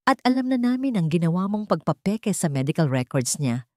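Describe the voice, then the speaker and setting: A woman's voice: on the low side, big pitch swings, brisk, mellow, dry recording, clean studio sound. A woman narrator voicing a serious, firm, protective man with a commanding manner in an audio love drama. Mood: menacing, firm. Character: grave, protective wealthy man, firm and threatening.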